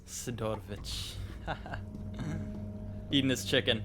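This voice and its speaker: Chatty man over clear background noise. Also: mid-range voice, drawn-out words. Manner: informal, chatty, rambling. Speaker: gaming YouTuber host. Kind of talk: casual game reaction commentary.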